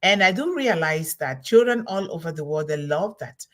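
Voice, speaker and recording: A woman's voice: lowish voice, lively intonation, moderate pace, a bit bright. A middle-aged woman from Cameroon, hosting a solo motivational talk, emphatic and repeating her key words. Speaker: host of a motivational talk series.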